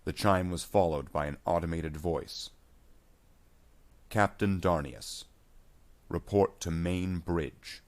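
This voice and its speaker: Deep-voiced man narrating a dramatic story. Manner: measured storytelling delivery without character voices. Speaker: narrator of a science fiction war story.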